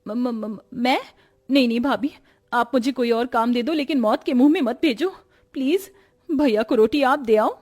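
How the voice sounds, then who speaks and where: A woman playing an innocent, timid young woman with a girlish manner in an animated story. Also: a little high, normal speed, natural voice. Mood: frightened.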